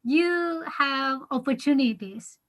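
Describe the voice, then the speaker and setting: A woman's voice: high-pitched, takes it slowly. A woman moderating an online panel discussion, talking loosely with many fillers.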